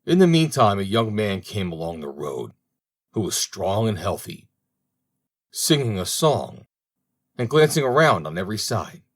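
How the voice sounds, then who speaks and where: Lower-pitched man narrating a fairy tale. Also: sing-song, measured pace. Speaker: audiobook narrator.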